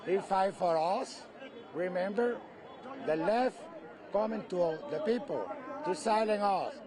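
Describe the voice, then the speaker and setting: A man in his fifties from Cuba, with a Cuban Spanish accent, speaking emphatic halting English in a street interview at a rally. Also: high-pitched, animated. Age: 50s. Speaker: rally supporter being interviewed. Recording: noisy crowd outdoors. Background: crowd.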